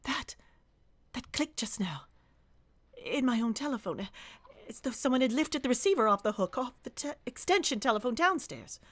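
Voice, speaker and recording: A woman's voice: on the high side, animated, moderate pace, fairly bright tone. A woman playing an anxious, demanding invalid wife in a radio thriller, stammering and pleading breathlessly. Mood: hushed fear. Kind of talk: radio play thriller acting.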